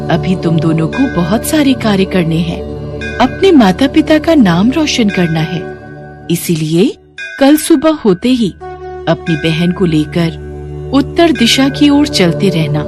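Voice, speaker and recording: A woman's voice: mid-range voice, very animated, unhurried, over music. A woman voicing a benevolent mother goddess who speaks in a solemn, gracious, formal way. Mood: solemn blessing. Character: benevolent mother goddess Durga blessing a devotee.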